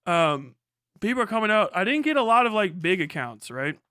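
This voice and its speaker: Guy streamer ranting casually, swears a lot, sarcastic, sharp voice, really dry close sound. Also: high voice, big pitch swings, a little fast, clean tone, no echo. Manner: profane, sarcastic, mocking. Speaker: live streamer. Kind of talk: casual livestream rant and commentary.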